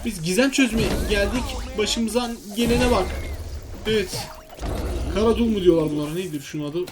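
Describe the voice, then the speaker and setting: A man's voice: sits high, normal speed, music in the background. A man giving casual, exclamatory commentary on a video game, talking to himself and to his viewers. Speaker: gaming video host.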